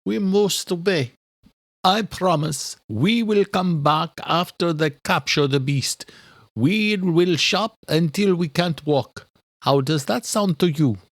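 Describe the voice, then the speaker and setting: A man's voice: a little high, slow pace, dry recording, clean studio sound, voice pushed up. A man voicing a refined, commanding married duchess with a proper, ladylike manner in an audiobook thriller. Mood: firm, reassuring.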